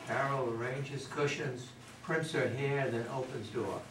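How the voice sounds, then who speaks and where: A man's voice: medium pitch, fairly even intonation, measured pace, fairly bright tone. A man reading stage directions as scripted narration at a play reading. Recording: echoey, distant, very noisy room.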